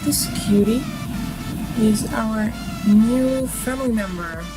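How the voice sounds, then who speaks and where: A woman's voice: fairly high pitch, slow pace, low-quality recording. A woman from Russia with a Russian accent and Russian as her first language, chatting casually to camera as a vlogger in a rambling, giggly manner. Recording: music behind the voice. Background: background music.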